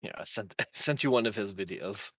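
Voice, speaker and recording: A woman's voice: rumbling low, a little fast, breathy edge, no room sound. A woman chatting casually on a stream, giggling often and restarting her sentences, on a dull lo-fi mic. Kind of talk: casual chat.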